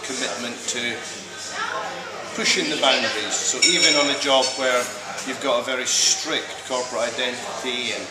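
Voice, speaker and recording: A Scottish man in his fifties, chatting in an interview about his career, with lots of fillers and pauses. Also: medium pitch, roomy sound, loud music behind the voice, low-quality recording. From Scotland. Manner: hesitant, many fillers, chatty. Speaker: interviewee, creative director. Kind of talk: casual interview.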